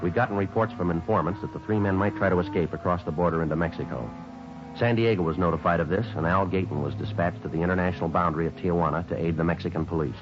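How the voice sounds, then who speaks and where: Male actor as terse police sergeant, low voice, deadpan, clipped, old radio drama, dull recording. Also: fast talker, over music, close to an everyday voice. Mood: matter-of-fact. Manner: deadpan, matter-of-fact. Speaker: narrator and lead actor.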